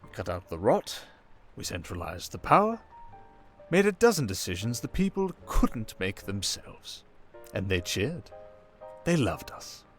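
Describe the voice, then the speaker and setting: A man playing a suave, manipulative criminal mastermind in an intimate ASMR roleplay, with a coaxing, condescending, theatrical delivery full of drawn-out pauses. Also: on the low side, sing-song. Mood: probing, smug. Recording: music behind the voice. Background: music.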